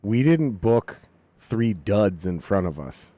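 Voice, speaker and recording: A man's voice: on the low side, slow, no echo. A man hosting a podcast, talking loosely and profanely, with jokes at his own expense. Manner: profane, animated, self-deprecating jokes. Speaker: podcast host. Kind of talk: casual podcast chat.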